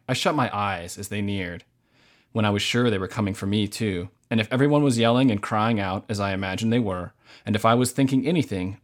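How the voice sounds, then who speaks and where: A man speaking as a novelist guest in a measured, thoughtful way. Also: lowish voice. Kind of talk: literary reading and thoughtful interview answers.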